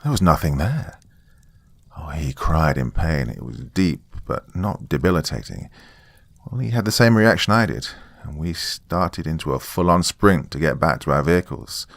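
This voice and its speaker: A man giving a dramatic, scripted first-person horror narration, suspenseful and deliberate, with pauses for effect. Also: a bass voice, big pitch swings, vocal fry, dry recording.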